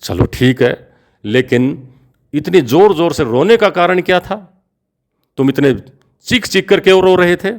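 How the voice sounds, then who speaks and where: A man voicing a frightened, demanding king who questions in urgent, high-pitched cries. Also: a little slow, darkish tone, lightly acted.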